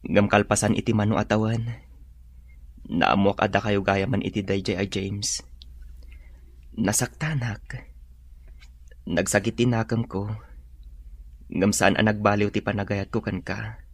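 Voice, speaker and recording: An actor playing a tender, devoted young suitor in a radio drama, speaking in a sincere, sentimental way. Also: male voice, lowish voice, fairly even intonation, measured pace, pauses often. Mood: pleading, lovestruck.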